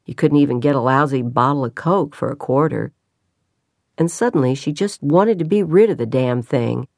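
A woman narrating a novel in an even, measured way, with quiet dialogue parts. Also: extremely low pitch, no echo, clean studio sound. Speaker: audiobook narrator. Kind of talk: scripted narration.

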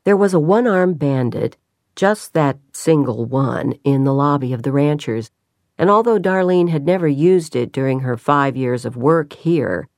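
A woman narrating a novel in an even, measured way, with quiet dialogue parts. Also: sits low, dry recording, studio quality. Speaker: audiobook narrator. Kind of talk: scripted narration.

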